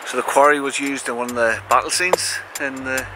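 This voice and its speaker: A man vlogging a road trip in a relaxed, musing way, with soft chuckles, talking outdoors on a coast. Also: mid-range voice, fairly quick, noisy background. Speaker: travel vlogger. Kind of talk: casual vlog narration. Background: loud music.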